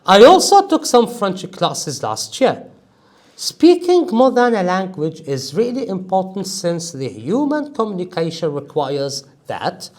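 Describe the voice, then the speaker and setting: Guy teaching an english test class, high lively voice, jokey and emphatic. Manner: emphatic, animated, joking with students. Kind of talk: classroom lecture.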